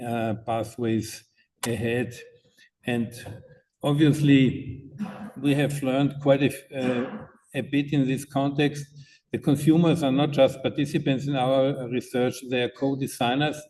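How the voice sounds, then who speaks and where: A man with an Austrian accent giving a conference talk, speaking haltingly with many fillers and restarts. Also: mid-range voice, warm tone. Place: conference hall. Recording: distant mic with faint noise.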